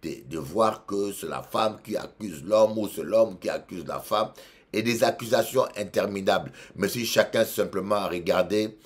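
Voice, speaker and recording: Congolese-accented male bishop, low voice, emphatic sermon delivery with repeated lines. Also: moderate pace. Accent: Congolese French. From Democratic Republic of the Congo. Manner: emphatic, swings between loud exhortation and quiet asides, repeats phrases. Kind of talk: preaching sermon.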